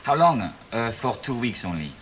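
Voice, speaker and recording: An actor playing a French visitor with a French accent, speaking halting, formal English and searching for words. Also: male voice, medium pitch, very animated, a bit bright, slight background noise. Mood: polite, halting.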